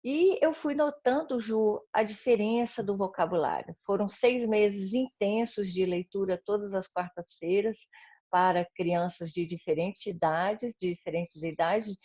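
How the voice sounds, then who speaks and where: A Brazilian woman interviewed on a video call, telling stories with warm enthusiasm and frequent tag questions. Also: mid-range voice, unhurried, dry recording, muffled recording. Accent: Brazilian Portuguese. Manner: warm, enthusiastic storytelling with many "né?" tags. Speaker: interviewee, heritage language educator. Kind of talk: casual interview conversation.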